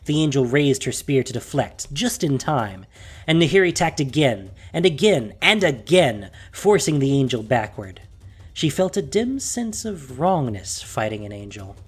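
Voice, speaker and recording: Audio drama narrator: husky, lively intonation, measured dramatic delivery, faint music underneath. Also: mid-range voice. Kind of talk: scripted dramatic story narration.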